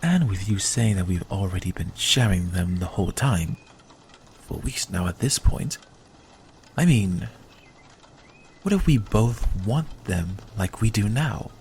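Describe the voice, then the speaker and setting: A man's voice: on the low side, animated, measured pace, pauses often, darkish tone, slight background noise. A man playing a possessive, dry-witted vampire in an ASMR roleplay, with a sardonic, commanding manner. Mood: wry, relenting. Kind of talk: ASMR roleplay audio drama.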